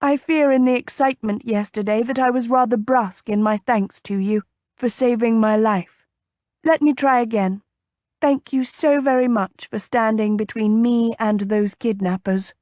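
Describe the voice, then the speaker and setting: Woman as spirited young noblewoman, lifted lively voice. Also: fairly high pitch, normal speed, no echo, studio quality, close to an everyday voice. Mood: earnest. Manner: emphatic, expressive, formal period speech. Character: spirited young noblewoman, tart, warm, stubborn. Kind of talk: audiobook character dialogue.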